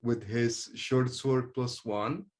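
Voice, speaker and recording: A man speaking casually and haltingly as a player in a tabletop game, working through his moves. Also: mid-range voice, steady tone, darkish tone.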